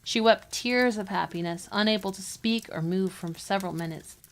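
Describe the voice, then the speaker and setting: A woman's voice: medium pitch, normal speed. A woman narrating a legend in the style of a storyteller. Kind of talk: scripted narration. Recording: music under the voice.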